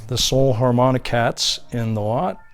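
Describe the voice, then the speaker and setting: Guy casually going thru his collection, listing stuff item by item, medium pitched voice, no script. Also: a little slow, soft background music. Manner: unscripted, listing titles and labels item by item. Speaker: record collector presenting his finds. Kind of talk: casual show-and-tell narration.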